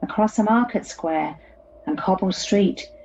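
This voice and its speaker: A woman's voice: medium pitch, unhurried, darkish tone, dull audio, slight background noise, close to an everyday voice. A woman playing a dreamy reciter of lyrical poetry in an audio play. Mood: dreamy, reflective.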